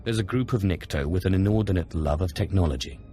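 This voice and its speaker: Man acting a deep, commanding Sith lord. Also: quick pace, mellow, faint music behind, lightly acted. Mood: cool, commanding. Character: cool, commanding male Sith with quiet menace. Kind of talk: game cutscene acting.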